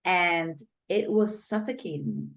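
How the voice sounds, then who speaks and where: A middle-aged woman from Poland, a brain coach giving an upbeat, expressive podcast interview as the guest. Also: lowish voice, measured pace.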